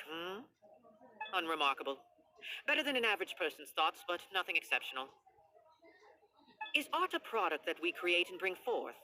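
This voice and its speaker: A woman's voice: lowish voice, pushed and bright, rough recording. A woman voicing an aloof, condescending, philosophical theater writer in a video game, haughty, musing and formal. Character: aloof, condescending, philosophical theater resident who pens dialogue. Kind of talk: video game character dialogue.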